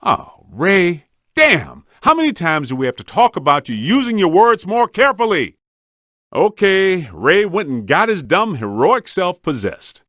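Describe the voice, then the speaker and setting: Video game ghost hunter: streetwise wisecracker, higher-pitched male voice, wildly animated, slangy and punchy. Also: measured pace, dry recording, studio quality, exaggerated voice. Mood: exasperated. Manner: casual, slangy, punchy delivery.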